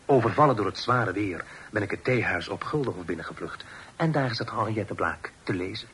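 An actor playing a witty young brother in a period radio play, bantering with mock pomposity and old-fashioned phrasing. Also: male voice, fairly low pitch, animated, brisk, a bit bright. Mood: defensive, amused. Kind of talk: Dutch radio play acting. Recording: noisy old radio drama recording.

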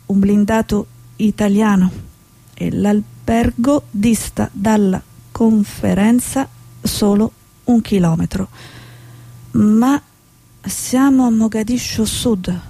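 An actress playing an earnest, idealistic female war reporter, speaking in a measured, reflective, theatrical way. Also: medium pitch, mellow, no echo, low-quality recording. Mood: somber, reflective. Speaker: stage actor. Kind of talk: stage drama, dialogue and reflective monologue.

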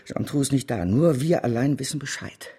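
An actor playing an excitable, scheming financial speculator in a German radio drama, commanding and conspiratorial, with a husky, gravelly voice. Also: male voice, mid-range voice, fairly quick. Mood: urgent, conspiratorial. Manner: urgent, theatrical, switching to hushed confiding.

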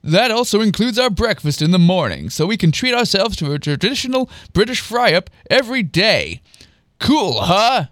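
A man's voice: sits high, sing-song, normal speed, fairly bright tone, no room sound, studio quality, pitched above the performer's own voice. Guy doing a camp smug young man, bossy and manic, raised voice swooping about, storybook character. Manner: camp, smug, grandly declaiming. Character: Andy, flamboyant young man, proud, manic, bossy enthusiast.

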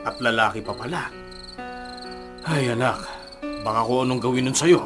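A man acting a middle-aged working-class father in a radio drama. He questions and gently scolds his child with parental concern, with clear music playing underneath. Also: medium pitch, normal speed, close to an everyday voice. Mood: concerned, scolding.

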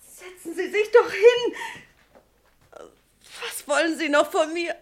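High-pitched, frightened, pleading widow. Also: female voice, expressive, takes it slowly, exaggerated voice. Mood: nervous. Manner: anxious, emotional, insistent pleading. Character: frightened widow of a drug smuggler, evasive, pleading. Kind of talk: German radio crime drama acting, mid-20th century.

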